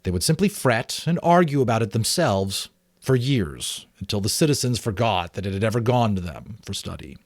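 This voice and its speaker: A man reading a novel aloud as a dramatic audiobook, expressive, with offhand asides. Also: lowish voice, moderate pace, no echo, clean studio sound. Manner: expressive storytelling. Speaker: amateur audiobook reader.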